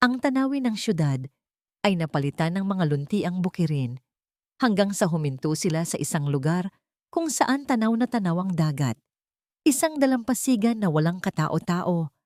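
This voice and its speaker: Dramatic woman narrator, very animated pitch. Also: no room sound, clean studio sound. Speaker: audiobook narrator. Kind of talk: dramatic scripted story narration.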